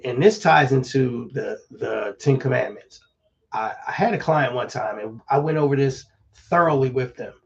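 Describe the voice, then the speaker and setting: A slightly hoarse man talks through home-buying advice in an easygoing, rambling live-stream style. His pitch sits mid-range, and the audio is a little dull and lo-fi. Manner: relaxed, conversational, many fillers and restarts. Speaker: real estate agent.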